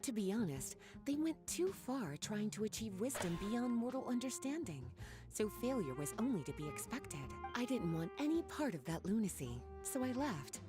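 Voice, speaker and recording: A woman's voice: fairly high pitch, very animated, moderate pace, music in the background. An actress playing a flirtatious, worldly woman librarian in a video game, speaking in a coy, leisurely and teasing manner. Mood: relaxed, coy. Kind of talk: video game dialogue acting.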